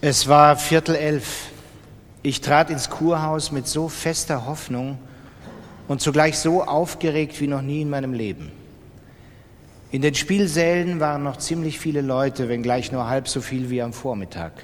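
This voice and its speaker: A man's voice: a little high, a little slow. A man with a standard German accent reading literary prose as a dramatic radio narration. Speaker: literary reader in a radio production. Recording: studio reading with long reverb.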